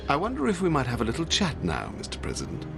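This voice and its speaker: A gentlemanly British secret agent in a game cutscene, over loud music. His British accent is genteel and courteous, and his pitch rises and dips dramatically through every unruffled, understated phrase. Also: wildly swooping pitch, quick pace, gravelly, exaggerated voice. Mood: smooth, courteous. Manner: polite, genteel, theatrically understated. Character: suave, unflappable gentleman British intelligence agent. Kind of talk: video game cutscene voice acting.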